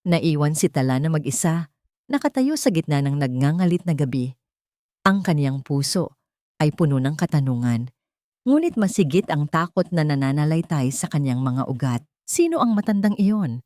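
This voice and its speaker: A woman's voice: low voice, lively intonation, moderate pace, dark tone, dry recording, clean studio sound. A woman with a Filipino accent narrating a dramatic story from a script.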